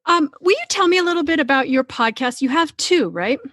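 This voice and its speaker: Higher-voiced woman podcaster, dry close sound. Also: lively intonation, a little fast, a bit bright, dry recording. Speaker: podcast host. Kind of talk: casual interview chat.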